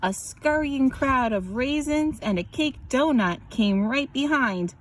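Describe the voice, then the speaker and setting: A woman reads a picture book aloud as scripted narration, animated and drawing out words for emphasis. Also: sits high, takes it slowly, a little hiss or hum. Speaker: children's story reader.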